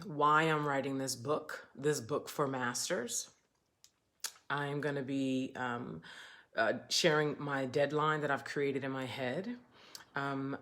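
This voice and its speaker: A woman talking slowly and deliberately on a livestream as a spiritual teacher, with some passages dropped to a soft whisper. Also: rumbling low. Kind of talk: livestream monologue. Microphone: webcam or phone on camera.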